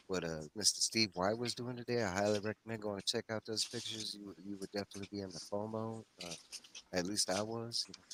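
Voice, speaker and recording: A man's voice: lowish voice, bright, forceful tone, slight background noise, rough recording. A man hosting a casual group livestream discussion, talking in a folksy, informal way to his co-hosts and viewers. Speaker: host of a comics read-along livestream. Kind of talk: casual discussion and plot recap.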